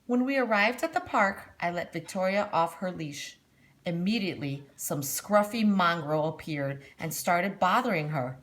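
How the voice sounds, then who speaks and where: A woman's voice: on the low side, expressive, normal speed, a bit bright. A woman voicing a snobbish, bossy middle-aged mother in a storybook read-aloud, haughty and commanding. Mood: haughty, disdainful.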